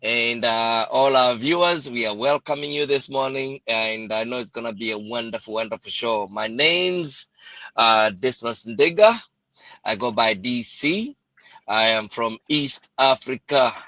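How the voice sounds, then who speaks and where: Jovial Kenyan man with a bright voice. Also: mid-range voice, measured pace, no echo, poor audio. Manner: jovial, playful asides. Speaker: co-presenter. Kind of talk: chatty live-stream introduction.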